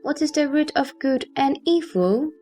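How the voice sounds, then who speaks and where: Earnest questioning bodhisattva , female performer, high-pitched, formal scripture drama delivery over faint music. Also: expressive, moderate pace, darkish tone. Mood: earnest inquiry. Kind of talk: audio drama sutra recitation.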